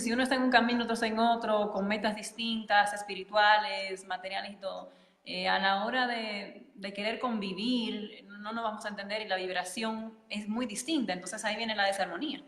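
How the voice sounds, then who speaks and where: A woman's voice: mid-range voice, fairly bright tone, echoey room, long reverb tail. A woman hosting a video-call interview, agreeing and prompting her guest. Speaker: host. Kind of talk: casual interview talk.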